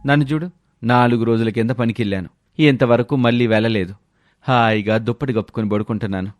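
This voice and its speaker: A man's voice: mid-range voice, a little fast, no echo, close to an everyday voice. A man playing a lazy village husband who complains and wheedles his way out of things in a storybook tale. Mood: making excuses. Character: lazy, whining village husband full of excuses. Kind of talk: storybook character voice.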